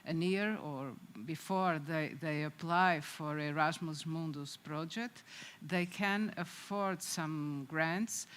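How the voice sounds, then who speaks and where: A woman presenting at a conference, halting with many fillers and restarts. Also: deep voice, a bit bright, clear, resonant tone.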